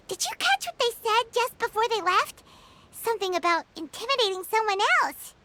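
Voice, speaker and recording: A woman voicing a tiny, childlike, cheeky fairy companion in a video game, squeaky and plucky. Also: lively intonation, normal speed, pushed and bright, slight background noise, full character voice. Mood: indignant. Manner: cheeky, speaks of herself in third person.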